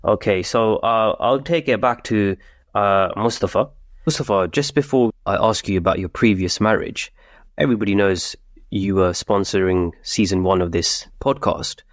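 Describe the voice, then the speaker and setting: A man hosting a podcast, speaking in a measured, formal way and opening with Arabic greetings. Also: fairly low pitch, dry recording, studio quality. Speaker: podcast host. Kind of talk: podcast hosting with scripted intro.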